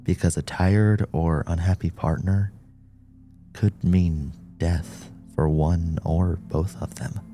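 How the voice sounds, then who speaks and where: A man's voice: low-pitched, fairly even intonation, slow pace, dark tone, faint music behind. A man reading a scripted story as an audiobook narrator.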